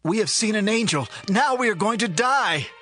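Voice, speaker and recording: A man's voice: sits high, lively intonation, normal speed, bright, forceful tone, faint music behind, pitched above the performer's own voice, heavily acted. A man voicing Manoah, an earnest, anxious, devout father, in a dramatized Bible reading.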